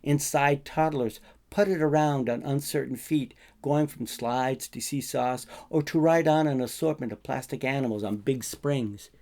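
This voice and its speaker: A man reading his own short fiction as a first-person narrator, in a conversational, colloquial way with expressive emphasis. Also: medium pitch, unhurried, few pauses.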